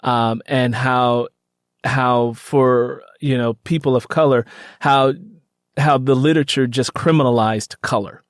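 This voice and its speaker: A man hosting a podcast interview, speaking conversationally with halting, repetitive phrasing. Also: mid-range voice, fairly even intonation, dry recording, clean studio sound. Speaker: podcast host.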